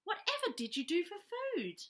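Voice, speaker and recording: A woman voicing a kindly, excitable married woman in a children's story, motherly, exclamatory and decisive. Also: very high voice, brisk, voice pushed up, full character voice. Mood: amazed.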